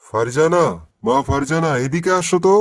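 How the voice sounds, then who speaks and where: A man voicing a pious, worried middle-aged father who gently pleads and admonishes in a cartoon. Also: fairly high pitch, moderate pace, no room sound, studio quality, lightly acted. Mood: firm. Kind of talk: cartoon dialogue acting.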